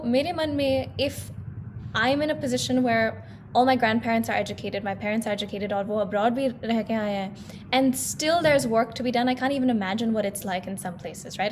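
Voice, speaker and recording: Fast-talking American woman, high voice. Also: a little hiss or hum. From United States. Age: 20s. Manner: quick, switching between English and Hindi. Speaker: guest singer. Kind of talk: casual talk show conversation.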